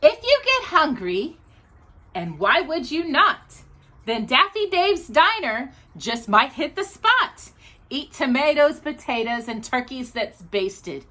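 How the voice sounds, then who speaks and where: A woman hosting a children's storytime, reading aloud in an animated, sing-song manner. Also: high voice, slow pace.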